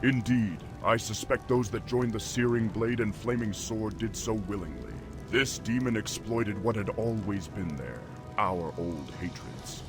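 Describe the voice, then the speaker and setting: An actor playing a noble, resolute orc warchief in a video game, formal and commanding. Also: male voice, on the low side, unhurried, few pauses, lightly acted. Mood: earnest. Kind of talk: video game character acting. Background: loud music.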